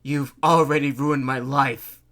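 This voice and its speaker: A man voicing a tormented middle-aged male mayor who begs, sobs and shouts. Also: mid-range voice, fairly even intonation, extremely slow. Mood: begging. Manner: pleading, shouting, sobbing.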